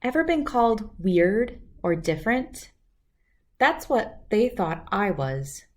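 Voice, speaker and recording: A woman reading a children's storybook aloud in an expressive way, acting out the lines. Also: medium pitch, slow pace. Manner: expressive, acts out character lines. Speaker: read-aloud host.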